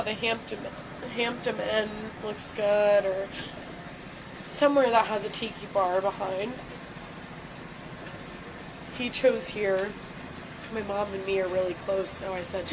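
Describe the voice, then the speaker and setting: A woman's voice: medium pitch, fairly even intonation, unhurried, long pauses. A woman in her twenties, tipsy and upset, rambling and swearing to a police officer. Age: 20s. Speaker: bar patron in a police stop. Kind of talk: emotional rambling talk. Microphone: police body camera. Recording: noisy body camera audio.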